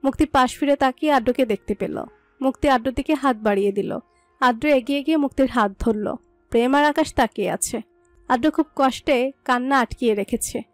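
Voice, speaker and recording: A woman's voice: high voice, fairly quick, no echo. A woman narrator reading a scripted romantic story. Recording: dry voice over soft music.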